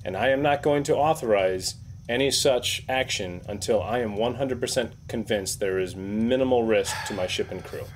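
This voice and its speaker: Man playing low-voiced, firm starship captain, noisy recording. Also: close to an everyday voice. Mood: firm, cautious. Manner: measured, formal, diplomatic. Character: firm, courteous male starship captain, cautious and authoritative. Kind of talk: improvised tabletop roleplay.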